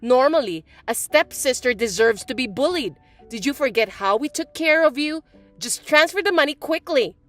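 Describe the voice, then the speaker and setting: A woman voicing a bratty, spiteful young stepsister who talks in a shrill, mocking, bossy way. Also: lively intonation, moderate pace, a bit bright, soft background music. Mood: angry. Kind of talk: voiced text-message drama.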